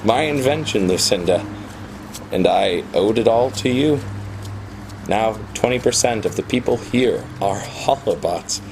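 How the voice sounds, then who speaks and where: An actor playing an obsessive, wistful programmer in love, in a radio play. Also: male voice, lowish voice, animated, a little slow, background noise. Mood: wistful, brooding.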